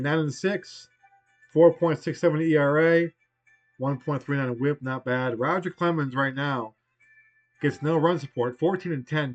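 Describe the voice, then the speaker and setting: A man in his forties with a cold, hoarse and losing his voice, rambling casually through a baseball game replay as host. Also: medium pitch, muffled recording. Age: 40s. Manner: rambling, conversational, trailing off.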